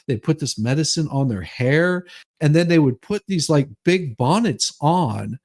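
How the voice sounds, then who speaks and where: A man's voice: fairly high pitch, lively intonation, unhurried, a little mellow. A man doing a comedic dramatic reading with rambling, repetitive, mock-outraged banter. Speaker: co-reader in a dramatic reading stream.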